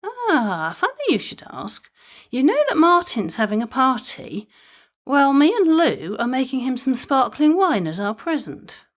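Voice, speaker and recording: A chatty, mischievous mother played by a woman in a comic audio drama. Her melody is lively and swinging, while the delivery stays conversational and drily funny. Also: sits high, a little slow. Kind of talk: audio drama comedy dialogue.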